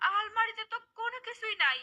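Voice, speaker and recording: A woman's voice: very high-pitched, lively intonation, fast talker, very noisy. A woman voicing a gullible, greedy wife and mother in a cartoon, pushy and dramatic. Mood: wailing, distressed. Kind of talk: cartoon character dialogue.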